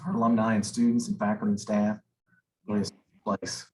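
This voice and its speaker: A man's voice: on the low side, level tone, fairly quick, a little mellow, rough recording. A man on the music faculty, sincere and agreeable, joining the panel discussion.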